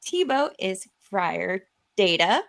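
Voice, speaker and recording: A woman's voice: mid-range voice, big pitch swings, takes it slowly, creaky, fairly bright tone. A woman casually hosting and directing a live script table read on a stream, often chuckling as she talks.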